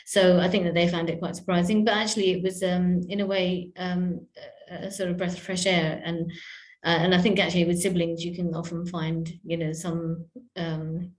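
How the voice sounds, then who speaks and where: A woman's voice: fairly low pitch, flat delivery, normal speed. A woman speaking as a podcast guest, reflective and hesitant, with many fillers and restarts. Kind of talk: reflective interview talk. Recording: echoey room.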